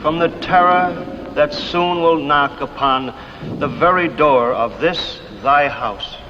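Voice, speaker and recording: A solemn, devout man of the church, praying slowly in 1950s film style. The dull old soundtrack is noisy, with long reverb around his declamatory delivery. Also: a little high. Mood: grave pleading. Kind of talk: 1950s film acting, recited prayer.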